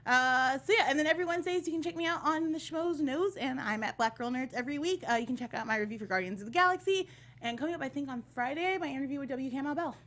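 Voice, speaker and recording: A woman's voice: sits high, fast talker, fairly bright tone. A woman chatting casually as a guest reviewer on a panel, emphatic and enthusiastic.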